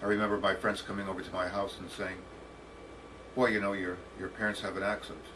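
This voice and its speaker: An American man of about sixty telling his life story as a professor, with deliberate, halting, formal phrasing. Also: low voice, little pitch movement. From United States, Detroit. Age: around 60. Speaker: professor, interview guest. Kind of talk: autobiographical storytelling. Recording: distant mic with faint background noise.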